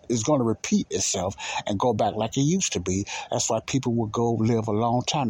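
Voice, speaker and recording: A man's voice: fairly low pitch, a little mellow, slightly rough, no room sound. A man teaching the Bible in an informal sermon, emphatic and repetitive, often asking questions and answering them himself.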